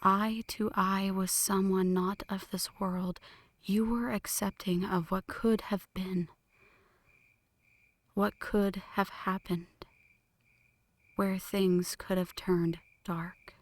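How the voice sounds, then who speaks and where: Slow whispering vampire woman , acted by a woman. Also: mid-range voice, little pitch movement, no echo. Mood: wistful, intense. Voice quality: breathy whisper. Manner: slow, deliberate, intimate, solemn. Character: ancient flirty female vampire, brooding, lovestruck, world-weary. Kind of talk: whispered ASMR roleplay. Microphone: close microphone, dry.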